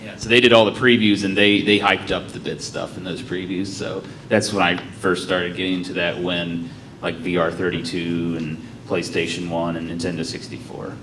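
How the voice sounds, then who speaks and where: A man's voice: sits low, little pitch movement, normal speed, a bit bright, slight background noise, poor audio. A man who grew up in Scotland, speaking casually on a convention panel, often restarting his words. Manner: casual, hesitant, repeats words.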